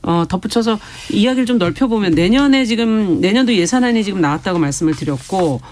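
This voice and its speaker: A woman's voice: medium pitch, animated, fast, darkish tone. A woman hosting a radio current-affairs discussion, summing up and putting questions to her guests. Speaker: radio host, announcer. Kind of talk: current-affairs interviewing with frequent short acknowledgements.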